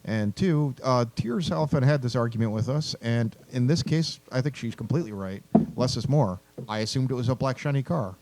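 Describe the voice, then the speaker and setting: A man's voice: mid-range voice, animated, normal speed, hardly any pauses, a little mellow, slight background noise. A man in an informal discussion, giving opinionated feedback as a co-host and reasoning his points out. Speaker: co-host critiquing a chapter. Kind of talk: casual critique discussion.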